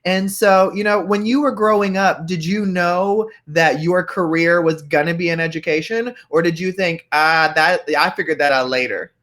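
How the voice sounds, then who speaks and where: A man's voice: high-pitched, moderate pace, hardly any pauses. A man hosts an interview in an informal, encouraging way. He leans on fillers and restarts as he sets up each question. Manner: warm, encouraging, many fillers. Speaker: podcast host. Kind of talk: interview hosting.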